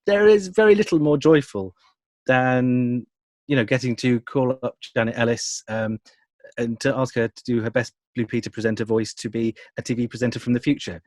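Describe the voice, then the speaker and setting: Enthusiastic, higher-voiced man in conversation. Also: moderate pace, a little mellow, no echo. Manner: enthusiastic, wordy, rephrasing as he goes. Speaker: audio drama producer being interviewed. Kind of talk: conversational interview about creative work.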